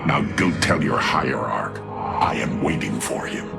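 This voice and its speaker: An actor playing a haughty, menacing alien warlord in a video game, with a gravelly, imperious delivery. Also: male voice, rumbling low, expressive, exaggerated voice. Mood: cold, smug. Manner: imperious, slow and commanding. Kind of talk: dramatic video game voice acting. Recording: loud music behind the voice.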